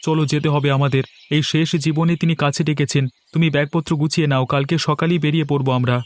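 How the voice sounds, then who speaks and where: A man's voice: on the high side, steady tone, quick pace, close to an everyday voice. A man voicing a greedy young son-in-law in a cartoon, grumbling and sarcastic. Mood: informing.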